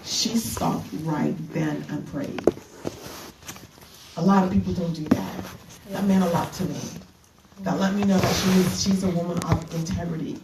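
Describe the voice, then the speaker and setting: A woman's voice: on the low side, fairly even intonation, distant mic, noisy background. Earnest Bahamas-born woman preacher, echoey room. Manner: earnest, halting with fillers and restarts. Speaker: keynote speaker and minister. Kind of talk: retreat keynote talk. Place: conference room. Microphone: handheld mic.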